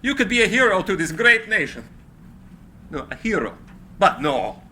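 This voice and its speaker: A man's voice: high voice, very animated, bright, forceful tone, full character voice. A man playing a brilliant, eccentric, proud and desperate inventor in a theatrical, impassioned dramatic script reading. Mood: indignant, impassioned. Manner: theatrical, impassioned, halting when agitated. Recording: live room recording with faint background noise.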